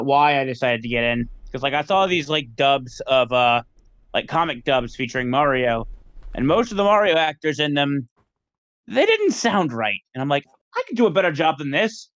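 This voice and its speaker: A man's voice: a little high, very animated, brisk, a bit bright, no echo. A man talking casually as a member of a podcast panel, recorded with a dull, lo-fi sound. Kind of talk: casual group podcast chat.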